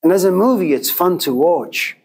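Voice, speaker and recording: A man's voice: high-pitched, lively intonation, normal speed, creaky, darkish tone, slightly rough. A man of Persian background giving a classroom lecture, speaking rhetorically and driving points home through emphatic repetition. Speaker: teacher.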